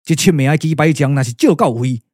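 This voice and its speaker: A man's voice: a little high, lively intonation, fast talker, darkish tone, no echo, clean studio sound. A man with a Taiwanese accent playing a composed, commanding strategist and elder brother in a puppet-theatre radio drama, declaiming in a stylised way. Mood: commanding, measured.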